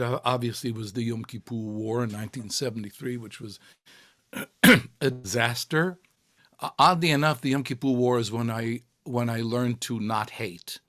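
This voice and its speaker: An older man who grew up in Israel, answering interview questions in a reflective, halting way with many fillers and restarts. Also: medium pitch, fairly bright tone. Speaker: cantor, interviewee.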